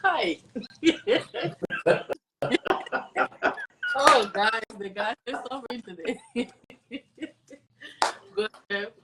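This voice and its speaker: A woman's voice: on the high side, animated, very slow, long pauses, very noisy. A woman interviewing a guest, reacting often with short affirmations. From Liberia. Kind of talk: casual interview chat.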